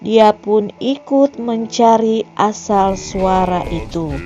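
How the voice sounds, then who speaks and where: Slow, measured woman recap narrator. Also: medium pitch, mellow, muffled recording, soft background music. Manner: slow, measured reading aloud. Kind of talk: scripted story narration.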